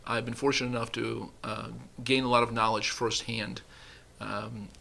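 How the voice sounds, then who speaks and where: A man's voice: medium pitch, level tone, moderate pace, vocal fry, sharp tone. A man in his forties, a company vice president, speaking earnestly on a conference panel with an uneven pace and some restarts. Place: conference room.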